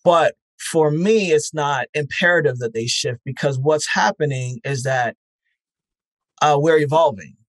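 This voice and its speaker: A man's voice: fairly high pitch, a little slow, no echo. A man being interviewed as the founder of a festival, talking frankly and reflectively with easy laughter. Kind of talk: casual interview chat.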